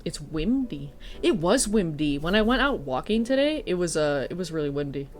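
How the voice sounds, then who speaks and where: Lady streamer with a stuffy nose, kinda low voice, rambling casual chat, swears a bunch. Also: very animated, normal speed, a little mellow. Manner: chatty, swears, self-deprecating. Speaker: streamer and teacher.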